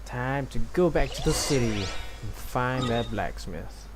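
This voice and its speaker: A man with a medium-pitched voice streams a game, reading lines and commenting casually. His delivery is slow and halting, with game music in the background. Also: expressive. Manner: hesitant, halting. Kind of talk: casual stream commentary and dialogue read-aloud.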